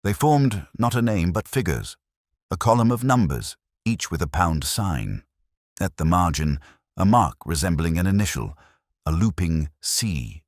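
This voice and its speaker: A man reading scripted first-person mystery narration in a measured, literary storytelling manner. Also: deep voice, expressive, no room sound, studio quality. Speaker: audiobook narrator.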